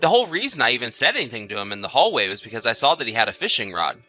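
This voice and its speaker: A man's voice: mid-range voice, big pitch swings, racing, pushed and bright, faint music behind. A man playing an easygoing, sociable husband in chatty, casual audio drama dialogue. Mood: easygoing, animated. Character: easygoing husband, sociable, placating, mildly defensive.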